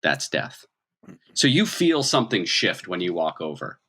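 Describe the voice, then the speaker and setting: A man's voice: low voice, big pitch swings, a little slow, pushed and bright, breathy edge. A man working as game master, improvising narration in a conversational, dramatic storytelling manner.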